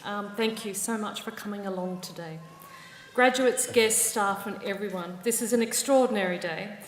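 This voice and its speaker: Australian woman, ceremony speech, measured and warm, big echoey hall. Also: mid-range voice. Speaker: university ceremony presider. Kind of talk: formal ceremony address.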